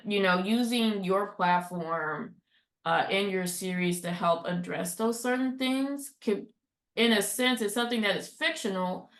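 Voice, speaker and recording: A woman's voice: medium pitch, fairly bright tone. Conversational woman host in echoey room. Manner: conversational, supportive, trailing sentences. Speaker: podcast host. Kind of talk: casual podcast interview.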